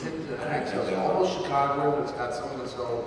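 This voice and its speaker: A man's voice: mid-range voice, fast. Male panelist from Canada, playful voice actor, heard distantly through noisy hall reverb. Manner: animated, playful, jokey. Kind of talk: unscripted panel chat to an audience. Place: large convention hall, panel before an audience. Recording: very echoey convention hall from far off, loud noise.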